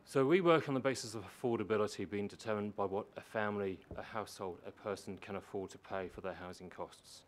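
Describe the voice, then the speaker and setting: A man with a low voice presenting a prepared submission at a public meeting, explaining and repeating points for emphasis. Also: quick pace, few pauses. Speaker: housing organisation manager presenting to a council. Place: council meeting chamber. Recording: meeting room with faint background noise.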